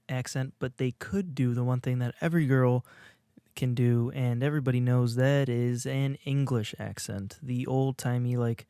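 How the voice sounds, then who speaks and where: A young man talks off the cuff on a podcast, mocking himself and restarting his sentences as he goes. His voice sits in an ordinary middle pitch. The recording is dry and close, with no room sound. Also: mellow, clear, resonant tone, dry recording. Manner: rambling, self-mocking, many restarts. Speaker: podcast co-host. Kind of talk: casual comedic monologue.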